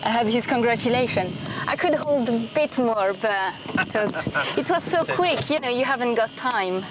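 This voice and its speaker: A woman's voice: fairly high pitch, animated, normal speed, background noise. A woman training as a pilot, speaking in an interview and searching for her words as she talks.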